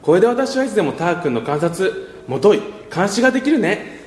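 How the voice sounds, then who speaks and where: A man playing a caring, easily flustered high school girl who scolds and coaxes in an amateur radio drama. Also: very animated, a little fast, darkish tone. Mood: teasing, pleased. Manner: coy, nagging, stammers when flustered. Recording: roomy reverberant echo with clear noise.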